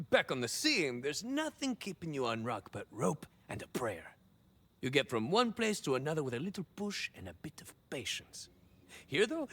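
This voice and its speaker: Video game hero: swaggering male cyborg soldier, Mexican-American accent, very animated melody, hesitant rambling asides peppered with Spanish. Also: on the high side, heavily acted. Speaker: playable game character. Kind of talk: video game idle monologue acting.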